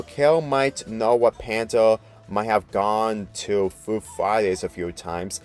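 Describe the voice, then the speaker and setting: Male story reader, fairly low register, scripted narration with music underneath. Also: a little mellow. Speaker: fan fiction reader.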